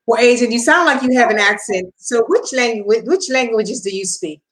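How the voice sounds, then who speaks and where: A woman's voice: fairly high pitch, expressive, moderate pace, roomy sound. A woman hosting an interview, asking long, rambling questions with many restarts. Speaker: host.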